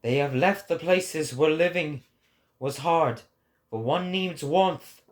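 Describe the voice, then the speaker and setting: A man voicing a lofty, sorrowful prophet who preaches a grave warning in a deliberate, declamatory dramatic reading. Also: on the high side. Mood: scornful, declamatory. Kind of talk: dramatic philosophical oration.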